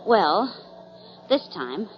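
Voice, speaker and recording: A woman's voice: medium pitch, sing-song, drawn-out words, soft background music. An actress playing an eager, guileless young woman sidekick with a chirpy manner, in 1940s radio drama style. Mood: eager, proud. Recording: dull lo-fi 1940s radio broadcast recording.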